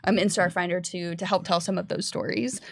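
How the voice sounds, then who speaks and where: A woman's voice: on the low side, steady tone, brisk, gravelly, dry recording, clean studio sound. A woman hosting a casual live-streamed panel discussion. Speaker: panel host relaying chat questions.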